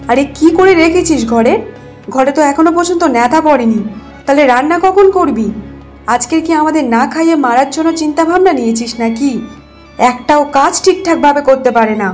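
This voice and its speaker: A woman voicing a spiteful older mother-in-law in a cartoon folk tale, nagging and accusing with a sharp tongue. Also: high-pitched, a little fast, faint music behind, close to an everyday voice. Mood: scolding.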